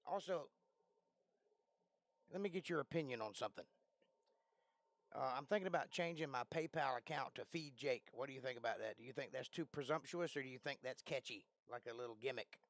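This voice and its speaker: A man with a raspy, worn voice that he is losing hosts a casual livestream from a cramped bedroom. He talks into a close desk mic that leaves the sound dry, and he rambles while often clearing his throat. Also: mid-range voice, a little fast, fairly bright tone, dry recording. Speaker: livestream host. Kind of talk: casual live-stream chat. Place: small bedroom. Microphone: close desk microphone.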